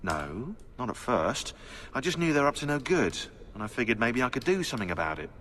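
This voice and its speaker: An actor playing a sardonic, eccentric British conspiracy researcher turned assassin in a video game, chatty, opinionated and sarcastic. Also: male voice, mid-range voice, very animated, fairly quick, fairly bright tone. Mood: earnest, reflective. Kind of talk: game cutscene dialogue.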